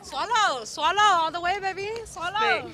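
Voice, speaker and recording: A woman's voice: extremely high pitch, normal speed, very noisy. A woman in her thirties answering street interview questions, laughing and playful, sometimes switching to Spanish. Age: 30s. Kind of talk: casual street banter. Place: busy street at night, outdoors. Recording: loud crowd around.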